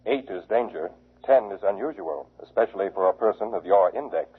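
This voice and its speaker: An actor playing a cold, pedantic robot testing machine in a 1950s radio drama, with formal, clinical, measured delivery. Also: male voice, on the low side, lively intonation, breathy edge. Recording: muffled, phone-like old radio broadcast.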